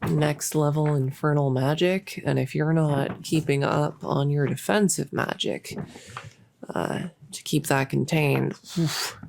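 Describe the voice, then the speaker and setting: A very low, creaky-voiced young cultist played by a woman in an intimate audio roleplay. She is bossy and possessive, with a giddy, playful smugness, and rambles casually as if thinking aloud. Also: steady tone, slow, noisy background, exaggerated voice. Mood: rambling, smug explaining. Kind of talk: intimate roleplay audio, improvised-sounding monologue.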